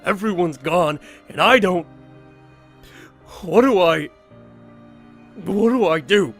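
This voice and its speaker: An actor voices a self-loathing young man in an audio drama. He pleads haltingly and slowly, full of despair, over soft music. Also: high-pitched, lively intonation, heavily acted. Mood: desperate. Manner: halting, anguished.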